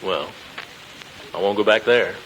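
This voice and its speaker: An actor playing a blustery, easily flustered middle-aged suitor, pompous and indignant, in 1940s radio comedy style. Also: male voice, lowish voice, unhurried, natural voice. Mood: sulky, rueful. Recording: noisy old 1940s radio recording.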